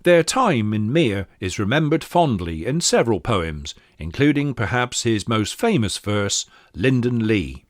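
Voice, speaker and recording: A man's voice: fairly low pitch, big pitch swings, a little slow, hardly any pauses, no room sound, studio quality. A man giving scripted narration in a documentary style.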